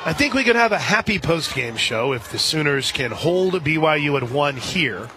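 A man doing live radio play-by-play of softball in a conversational way, as the announcer for the home side. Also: on the high side, animated, normal speed, background noise. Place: outdoor softball stadium broadcast. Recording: crowd and music behind. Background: stadium crowd, music.

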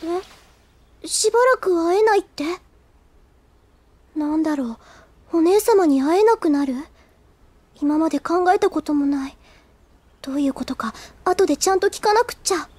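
Very high-voiced flustered schoolgirl. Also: moderate pace, long pauses, darkish tone, no echo. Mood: anxious. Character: earnest, easily flustered, self-doubting teenage schoolgirl. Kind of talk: anime audio drama acting, with inner monologue.

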